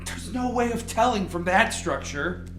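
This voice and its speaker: A woman's voice: medium pitch, expressive, moderate pace, fairly bright tone, soft background music, lightly acted. Testy lead scientist, acted by a woman. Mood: puzzled, urgent. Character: testy, defensive lead scientist, curt and commanding. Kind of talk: amateur radio play acting.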